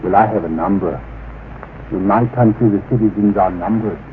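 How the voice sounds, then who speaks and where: An actor in an old radio drama playing a serenely superior mind-reading stranger, speaking formally and pedantically. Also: male voice, on the low side, normal speed, lightly acted. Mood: condescending. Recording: muffled, narrow-band old radio recording, hissy.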